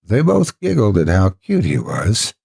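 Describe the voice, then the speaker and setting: Low, husky male horror storyteller. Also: huge pitch swings, unhurried, darkish tone. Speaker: horror story narrator and host. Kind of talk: dramatic scripted storytelling narration. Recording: music behind the voice. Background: background music.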